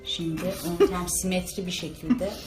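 A woman's voice: lowish voice, little pitch movement, normal speed, reverberant, over music. A woman hosting a video, chatting casually and playfully, with exclamations during a makeup challenge. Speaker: video host.

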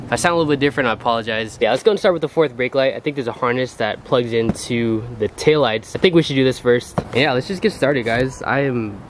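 A man's voice: mid-range voice, fast talker, few pauses, a little hiss or hum. A man in his twenties vlogging casually about car parts, upbeat and a little nasal from a cold. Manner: upbeat, says "guys" a lot. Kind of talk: casual vlog chat. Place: driveway and garage, outdoors.

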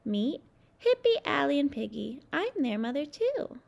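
A woman reads as a caring mother bear in a picture book. She sounds gentle and reassuring, high-pitched with a lively lilt. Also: measured pace, gravelly, exaggerated voice. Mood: warm, cheerful.

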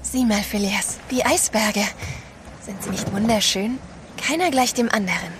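A woman plays an affectionate, well-bred wife in a radio play. Her voice is mid-pitched, with clear background noise. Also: moderate pace, close to an everyday voice. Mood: admiring. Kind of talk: German audio drama acting. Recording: clear ship noise behind.

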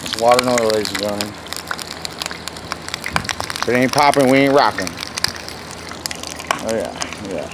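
A man's voice: lowish voice, normal speed, pauses often. A man cooking on a backyard grill, talking casually into a handheld phone camera with laid-back slang and hyped asides. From Texas. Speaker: home cook. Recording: loud sizzle and yard noise. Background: loud sizzling and outdoor noise.

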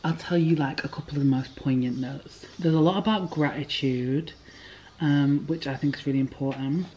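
A young woman chatting to a handheld camera in a room, rambling and lively as she talks. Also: deep voice, moderate pace, dull audio, a little hiss or hum. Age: young adult. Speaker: vlogger. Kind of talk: casual chat to camera. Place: indoor room with bookshelves at a retreat. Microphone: handheld camera at arm's length.

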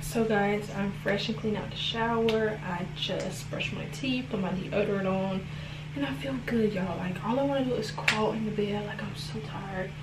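A woman's voice: medium pitch, moderate pace. A young woman talking casually to her camera at home as a vlogger, chatty and rambling, often calling her viewers "y'all". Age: young adult. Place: home room indoors, bathroom later. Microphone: phone or camera propped low, close. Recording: echoey room with noise.

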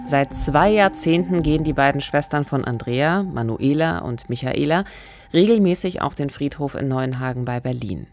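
A woman co-hosting a true-crime podcast in a radio studio, measured and asking questions. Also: extremely low pitch, darkish tone. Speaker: podcast co-host. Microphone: studio microphone with pop filter, headphones.

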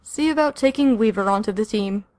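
A woman voicing a calm, responsible young male superhero team leader in an audiobook. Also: mid-range voice, fairly quick, no room sound, lightly acted. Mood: firm, calm.

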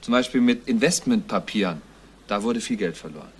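A man's voice: lowish voice, expressive, fairly quick, husky. A man playing an earnest, thorough police investigator in a German crime radio play, explaining things matter-of-factly. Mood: reporting.

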